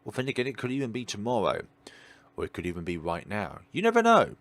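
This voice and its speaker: Male let's play host, very deep voice with expressive pitch swings, rambling and reflective. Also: fast. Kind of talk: reading a visual novel aloud with rambling off-script commentary.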